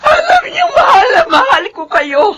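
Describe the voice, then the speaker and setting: A woman's voice: extremely high pitch, very animated, moderate pace, raised voice, very noisy. An actress playing a loving but torn middle-aged mother in a Filipino radio drama, with a Filipino accent. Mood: upset, pleading.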